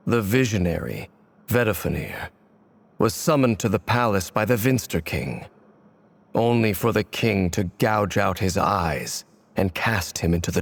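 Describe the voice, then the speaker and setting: Deep, brooding man haunted by betrayal. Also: creaky, full character voice. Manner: solemn, measured, dramatic storytelling. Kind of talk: dramatic video game voice acting.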